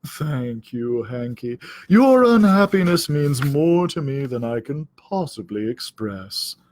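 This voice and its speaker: A man's voice: medium pitch, very animated, darkish tone. Man acting out a sly, drawling, smug cat. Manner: drawling, falsely sympathetic, deliberate. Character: sly, smug, silky male cat scheming against a dog. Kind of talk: children's storybook character voice.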